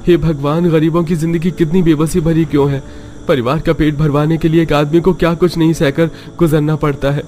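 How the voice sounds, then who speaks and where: A man's voice: on the high side, little pitch movement, fairly quick, warm tone, natural voice. A man playing an earnest, downtrodden young father and poor worker in a melodramatic cartoon story, with a pleading manner. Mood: despairing. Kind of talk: melodramatic Hindi cartoon acting.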